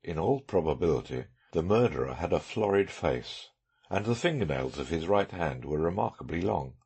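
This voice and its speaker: Casting: male narrator as self-assured amateur sleuth, low husky register, dry sarcasm, swooping intonation, classic detective novel. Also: animated, normal speed, darkish tone, exaggerated voice. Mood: confident, brisk.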